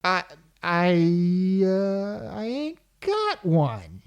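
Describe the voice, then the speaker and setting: Casting: woman as hapless married everyman for radio comedy, hesitant and flustered, very animated but unhurried. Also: fairly low pitch, clear, resonant tone, lo-fi sound, exaggerated voice. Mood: bashful panic. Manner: stammering, hesitant. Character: flustered, henpecked married repairman Frank, a nervous everyman.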